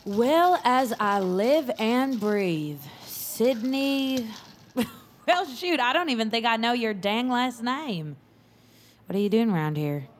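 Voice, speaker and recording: Slow-drawling, folksy woman. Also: fairly high pitch, expressive, slight background noise. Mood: teasing. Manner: folksy, teasing, effusive. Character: sassy, folksy, friendly woman at a poker game. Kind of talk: audio drama dialogue.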